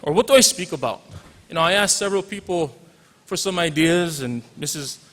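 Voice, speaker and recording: A man's voice: on the high side, animated, a little fast, fairly bright tone. A man in his forties from Hawaii with a Hawaiian accent, a teacher giving an informal graduation speech with joking asides and bits of Pidgin. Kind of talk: commencement speech. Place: large hall. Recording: reverberant hall audio.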